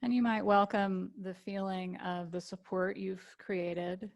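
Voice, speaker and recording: A woman teaching yoga nidra, guiding a relaxation meditation with gentle invitations and long pauses. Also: mid-range voice, flat delivery, creaky, muffled recording.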